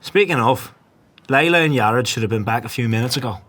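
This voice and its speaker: A man playing a cocky, foul-mouthed, impatient gang boss in a dark fantasy audio drama, with gruff, swaggering delivery. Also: medium pitch, lively intonation, brisk, slight background noise, lightly acted. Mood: sardonic, impatient. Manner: swaggering, gruff commands and coarse swearing.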